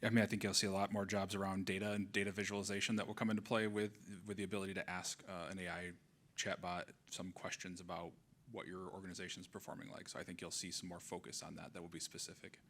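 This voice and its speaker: A man speaking on a stage panel into a handheld mic, explaining things at an even clip. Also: deep voice, fast talker, a little hiss or hum. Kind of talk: panel discussion. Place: event hall, on stage.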